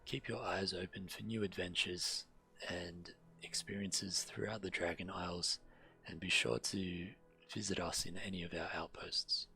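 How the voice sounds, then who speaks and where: Male game streamer, low bright voice, reads quest dialogue aloud with occasional stumbles, faint background noise. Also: level tone, measured pace, creaky. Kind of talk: reading quest text aloud and casual game commentary.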